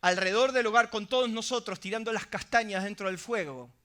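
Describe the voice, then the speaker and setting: A man's voice: sits high, a little fast, fairly bright tone. A middle-aged man preaching a sermon, emphatic, often asking the congregation rhetorical questions. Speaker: pastor.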